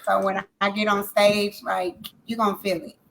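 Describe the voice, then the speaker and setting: A woman singer talks casually in an interview. She is emphatic and animated and repeats phrases for effect. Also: medium pitch, normal speed, vocal fry, low-quality recording. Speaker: singer guest.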